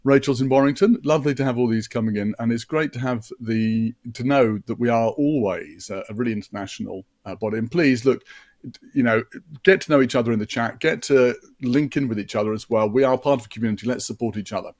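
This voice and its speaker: A man in his sixties presents a live online session in a chatty, upbeat way. He stumbles and restarts often and fills gaps with little fillers. His voice sits a bit deeper than usual after a late night. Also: quick pace, a little mellow.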